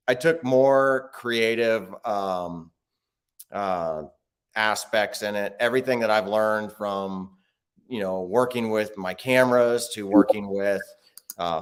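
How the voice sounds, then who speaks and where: A man talking casually as a guest on a video call interview, explaining at length with many restarts. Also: fairly low pitch. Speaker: guest, bike video creator.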